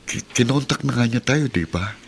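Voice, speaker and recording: A man's voice: mid-range voice, expressive, moderate pace, creaky, husky. A man playing a respectful, troubled adult son and father in radio melodrama. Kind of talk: Tagalog radio melodrama acting.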